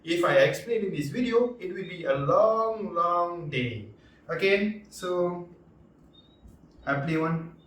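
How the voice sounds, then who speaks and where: Male vlog reviewer, Malaysian accent, somewhat high pitch, informal and repetitive, very roomy sound. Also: lively intonation, a bit bright. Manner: informal, repetitive, halting, punctuated with slangy interjections. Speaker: product reviewer and vlogger. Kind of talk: casual unscripted product review. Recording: echoey room, distant mic, background music.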